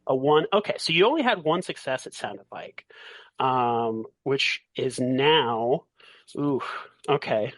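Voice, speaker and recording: A man running a tabletop roleplaying game, narrating haltingly and thinking aloud as he goes. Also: medium pitch, expressive, a bit bright, no echo, rough recording. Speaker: game master.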